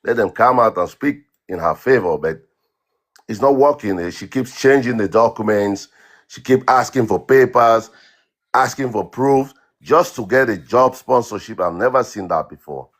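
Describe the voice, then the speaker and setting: A man from Cameroon, a guest on a livestream, telling his story in a halting way with repeated words and many fillers. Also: mid-range voice. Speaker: guest caller. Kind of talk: casual account on a livestream.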